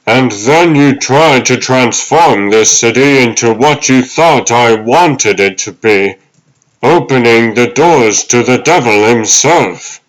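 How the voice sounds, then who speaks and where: A man voicing the ghost of an old butler, fatherly, earnest and deliberate, in a dramatic comic dub. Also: medium pitch, natural voice, poor audio. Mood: solemn, caring.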